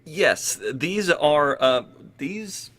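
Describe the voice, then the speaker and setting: A man's voice: a little high, animated, extremely slow, sharp tone, exaggerated voice. An actor playing a nervous, pedantic male scientist in an audio drama, stammering and rambling fussily.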